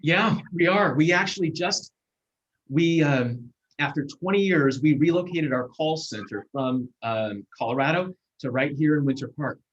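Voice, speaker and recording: A man in his forties, a guest speaker, giving a measured, earnest talk over a video call, with fillers and restarts. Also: a little high, husky. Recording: muffled video call audio.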